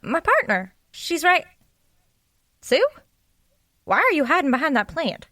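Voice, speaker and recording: Reasonable, placating sidekick investigator for audio comedy, slightly high pitch, dry close recording. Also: female voice, sing-song, a little slow, pushed and bright, no echo, exaggerated voice. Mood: helpful. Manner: reasonable, conciliatory. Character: sensible, helpful female sidekick sleuth, quick to smooth things. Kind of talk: comic audio drama acting.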